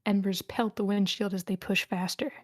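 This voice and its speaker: A woman's voice: medium pitch, steady tone, moderate pace, darkish tone, no room sound, studio quality. A woman reading the stage directions of a screenplay as scripted narration at a table read.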